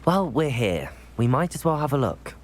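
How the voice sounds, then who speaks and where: An actor playing a calm, supportive young male student in video game dialogue, speaking in an encouraging way. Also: medium pitch, normal speed, slight background noise, natural voice. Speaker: game player character.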